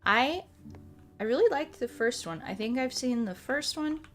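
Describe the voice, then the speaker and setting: A woman's voice: sits high, expressive, moderate pace. Sleepy American woman chatting over music. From United States. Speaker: ASMR creator and live streamer. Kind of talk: casual chat while playing a puzzle game, reading and answering viewers' comments. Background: soft music.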